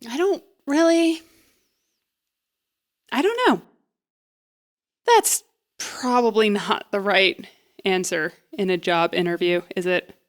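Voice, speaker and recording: A woman's voice: mid-range voice, lively intonation, slow, pauses often. A woman playing a nervous, jokey young woman who rambles and puts herself down as she bluffs through an interview in an audio drama. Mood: awkward, wry. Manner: rambling, self-deprecating, flustered. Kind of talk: audio drama acting, conversational comedy.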